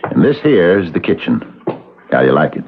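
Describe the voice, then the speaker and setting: A man's voice: low-pitched, very animated, a little fast, a little hiss or hum. An actor in an old-time radio drama playing a genial married man, coaxing and soothing in a folksy, hesitant way. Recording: muffled old radio broadcast, music behind.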